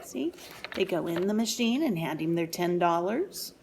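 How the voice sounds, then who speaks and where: A woman reading a picture book aloud to young children in an animated, sing-song way, pausing for asides and questions. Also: fairly low pitch, slight background noise.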